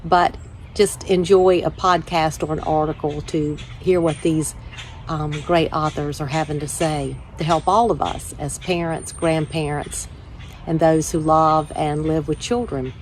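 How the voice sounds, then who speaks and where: A woman hosting a children's story time, reading aloud with measured, deliberate phrasing. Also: sits low, fairly even intonation, a little hiss or hum, rough recording. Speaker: church children's ministry director, story time host.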